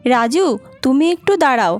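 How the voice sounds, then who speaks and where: A woman playing a gentle, steadfast young wife in a cartoon, soothing and encouraging in manner. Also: high-pitched, big pitch swings, normal speed. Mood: reassuring. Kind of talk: cartoon dubbing. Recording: music behind the voice.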